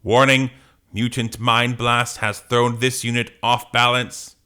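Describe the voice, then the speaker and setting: A man voicing a giant emotionless killer robot from a comic, with slow, stiff mechanical delivery. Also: medium pitch, flat delivery, sharp tone, clear, resonant tone. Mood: cold, reporting.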